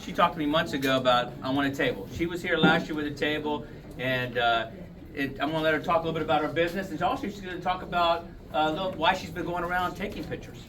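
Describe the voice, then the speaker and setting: A man's voice: a little high, steady tone, quick pace. A man emceeing a party, introducing the next speaker in a jovial way and joking about himself. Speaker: event emcee. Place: indoor event hall. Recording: distant mic in a noisy crowded hall.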